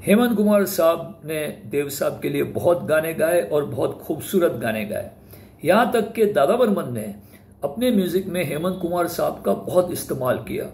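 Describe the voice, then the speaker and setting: A high-voiced man presents as host in an informal, explanatory way. The tone is respectful, with English words dropped in. The sound is roomy and echoing, with faint noise behind. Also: expressive, normal speed, creaky, a little mellow. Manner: speaks Hindi with English words mixed in. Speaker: host presenting film music history. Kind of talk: informal explanatory talk to viewers.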